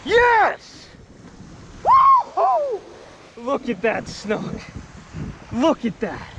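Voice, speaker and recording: A man's voice: extremely high pitch, very slow. A young man in his twenties narrating his fishing trip on an ocean beach, talking into an action camera, with surf and wind behind him. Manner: excitable, shouting when hooking fish. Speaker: fishing vlogger. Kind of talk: excited fishing vlog commentary. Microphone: action camera, head-mounted then handheld.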